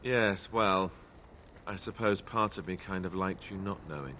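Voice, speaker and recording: Over clearly noisy game ambience comes an awkward young warrior from a fantasy game, a reluctant royal heir. His voice sits a little low, and he speaks earnestly, hesitant and apologetic. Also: measured pace, sharp tone. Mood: sheepish. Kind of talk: fantasy video game acting.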